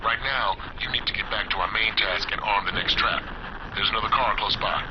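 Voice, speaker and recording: An actor voicing a gruff, confident survivor who gives blunt, wry instructions in a video game. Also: male voice, lowish voice, a little fast, lightly acted. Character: gruff, confident man, trap-setting survivor handler. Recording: dull, noisy game audio with engine ambience.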